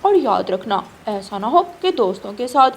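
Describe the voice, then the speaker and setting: A woman's voice: mid-range voice, sing-song, normal speed, breathy edge. A woman playing a mother bird in a children's cartoon, firm and bargaining in a fond motherly way. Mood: mock scolding.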